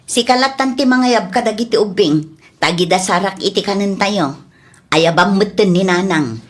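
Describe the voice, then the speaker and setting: A woman playing an exasperated wife with a sharp tongue in a comedy drama, scolding in colloquial speech. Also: medium pitch, moderate pace, lightly acted. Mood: nagging. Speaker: actress in an Ilocano audio drama.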